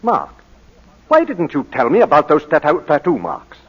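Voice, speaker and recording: An actor playing a measured, probing defence barrister in a 1940s radio drama, deliberate and pointed. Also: male voice, on the high side, wildly swooping pitch, slightly rough, full character voice. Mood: concerned, firm. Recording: muffled old 1940s radio recording.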